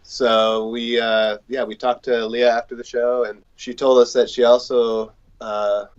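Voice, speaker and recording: A man's voice: medium pitch, flat delivery, measured pace, noisy background. A man telling band stories casually in an interview, with laid-back slangy phrasing. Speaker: band member, singer and guitarist. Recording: dull, lo-fi recording.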